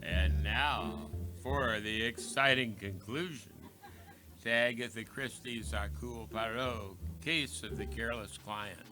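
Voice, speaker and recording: A man announcing in an old-time radio style, reading scripted lines in a theatrical, measured manner. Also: on the low side. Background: music.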